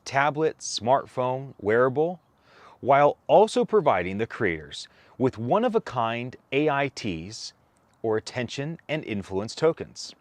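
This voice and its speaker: A man reading a technical document aloud, phrasing deliberately and stressing each item as he goes. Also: medium pitch, very animated, no room sound. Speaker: co-author reading a whitepaper aloud. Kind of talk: read-aloud document narration.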